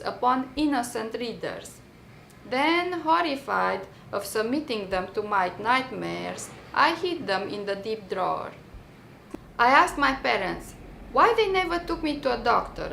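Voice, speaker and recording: A woman with a Romanian accent reads her personal story as a dramatic monologue, theatrical and deliberate, with pointed pauses and bitter irony. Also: a little high, gravelly, a bit bright, roomy sound, slight background noise, low-quality recording. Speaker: writer reading her own memoir.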